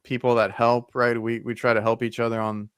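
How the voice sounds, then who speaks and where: A man in his thirties hosts an easygoing chat. He asks questions with lots of fillers. His voice is smooth, with a fairly flat melody, and the sound is close and dry. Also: medium pitch, fairly quick, no room sound. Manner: friendly, full of fillers and restarts. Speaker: podcast host, HVAC technician. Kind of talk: casual interview talk.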